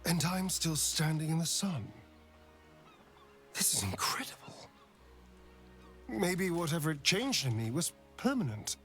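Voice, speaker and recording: Game role: a giddy adventurer, high lively voice, breathy, quick to fluster. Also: male voice, faint music behind. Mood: elated relief. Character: excitable, flustered, elated male adventurer and companion. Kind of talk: video game acting.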